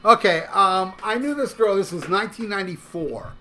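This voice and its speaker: A man's voice: sits high, animated, moderate pace, sharp tone. A middle-aged man hosting a podcast, telling a long crude story in a rambling, profane way with stumbling restarts. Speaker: podcast host. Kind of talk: casual storytelling. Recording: music playing clearly underneath.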